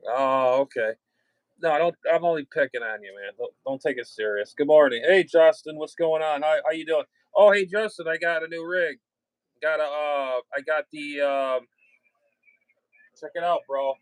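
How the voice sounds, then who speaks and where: A man with a slightly high-set voice hosts a casual livestream. He talks bluntly and loosely, in slang peppered with swearing. The sound is dry, with no room around it. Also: normal speed, clear, resonant tone, no room sound, rough recording. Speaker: livestream host and ham radio hobbyist.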